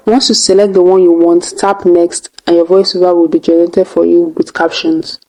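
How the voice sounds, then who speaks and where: A woman's voice: fairly low pitch, level tone, normal speed, mellow, faint music behind, low-quality recording. A woman hosting a tutorial, narrating step by step in a casual way. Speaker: tutorial host.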